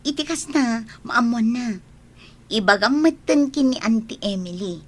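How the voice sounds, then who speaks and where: A woman acting a caring, nosy young best friend in an Ilocano radio drama, expressive and probing, with an Ilocano Filipino accent. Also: fairly high pitch, measured pace. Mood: worried, urging.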